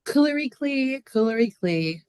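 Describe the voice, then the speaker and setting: A woman's voice: on the high side, lively intonation, normal speed, a little mellow, smooth, no echo, studio quality, natural voice. A woman playing a plain-spoken, lonely, literal-minded farm neighbor in a stage reading, earnest and matter-of-fact. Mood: calling out. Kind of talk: stage reader's theater acting.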